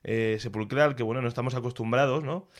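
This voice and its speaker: A man from Castilla-La Mancha with a Castilian Spanish accent, reviewing culture in a casual, opinionated and expressive conversational way. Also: mid-range voice, fairly quick. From Castilla-La Mancha, Spain. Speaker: culture commentator.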